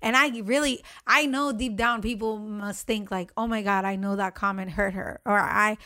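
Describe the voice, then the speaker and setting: A woman with a deep voice, speaking bluntly and emphatically in a casual chat. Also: steady tone, normal speed, pushed and bright, no room sound, studio quality.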